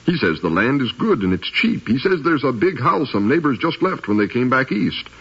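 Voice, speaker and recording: An actor playing a restless, eager planter's son in a 1950s radio drama, earnest and pleading. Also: male voice, mid-range voice, very animated, moderate pace, a bit bright, husky, exaggerated voice. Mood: urgent persuading. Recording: dull 1950s radio recording.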